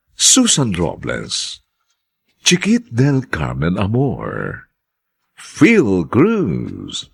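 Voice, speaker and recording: A male radio soap announcer with a low, husky, gravelly voice. He reads slowly and theatrically, with very sweeping intonation. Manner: theatrical, deliberate. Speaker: narrator and announcer. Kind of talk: dramatic scripted radio drama narration.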